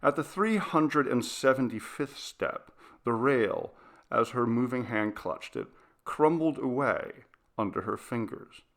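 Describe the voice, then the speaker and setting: A man narrates literary fiction in a mid-range voice with plenty of gravelly fry. His delivery is measured and dramatic, suited to a classic ghost story. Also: medium pitch, expressive. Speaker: audiobook narrator.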